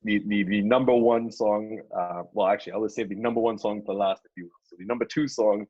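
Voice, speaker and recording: A man's voice: on the low side, steady tone, quick pace, a little mellow, slightly rough, no room sound. A young man, a podcast guest talking earnestly over a lo-fi call, often repeating words as he thinks. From Caribbean. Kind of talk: casual podcast discussion.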